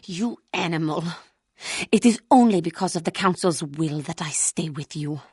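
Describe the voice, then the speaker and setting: Alien female warrior, video game dialogue, raspy, lowish, formal diction, solemn, proud, bitter. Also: lively intonation, darkish tone, no room sound, studio quality, full character voice. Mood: seething. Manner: formal, measured, stilted earnest diction. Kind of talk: scripted game character dialogue.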